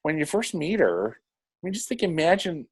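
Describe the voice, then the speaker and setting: A man co-hosting a casual film discussion podcast, earnest and halting, often rephrasing mid-thought. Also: a little high, very animated, slightly rough, no echo. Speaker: podcast co-host reviewing animated films.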